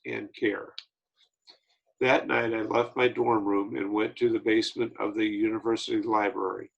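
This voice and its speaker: A man reading a memoir aloud, slow and measured with small slips. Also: mid-range voice, creaky, rough recording. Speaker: reader of an autobiography.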